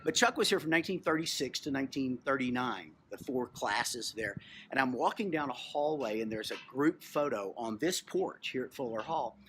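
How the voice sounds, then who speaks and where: A man's voice: mid-range voice, lively intonation, normal speed, a bit bright. Middle-aged Texan storyteller, man, noisy surroundings. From Texas, United States. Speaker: museum storyteller and co-host. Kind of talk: informal personal storytelling. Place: on location at a historic lodge. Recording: clear background noise, on location.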